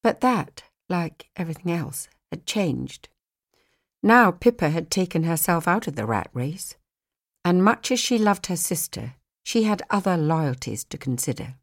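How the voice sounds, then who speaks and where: A woman with a fairly low voice narrates an audiobook. She reads scripted fiction as a storyteller would. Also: expressive, a little slow.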